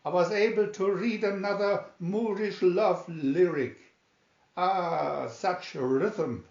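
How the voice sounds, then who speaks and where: Guy doing a airy posh young spanish gentleman for a storybook, higher voice, kinda muffled recording. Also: takes it slowly, creaky, roomy sound, exaggerated voice. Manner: airy, affected, refined. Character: Don Diego, airy young Spanish nobleman feigning foppish timidity. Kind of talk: storybook character reading.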